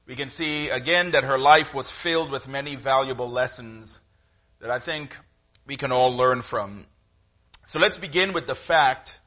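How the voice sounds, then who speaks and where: A middle-aged man with a Trinidadian accent, preaching a sermon in a conversational, halting way with frequent fillers. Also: medium pitch, pushed and bright. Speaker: guest preacher.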